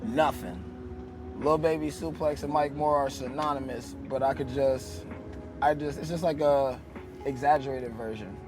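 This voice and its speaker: A man's voice: mid-range voice, fairly even intonation, normal speed, over music. A man, a rapper guest, talking bluntly and profanely in a casual interview. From Albany, New York.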